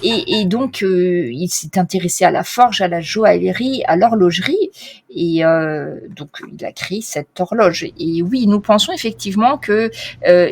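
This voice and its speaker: A courteous female clock expert from Florence, voiced by a woman. She talks formally and politely but hesitates often, stalling on fillers. Her voice sits at a middle pitch.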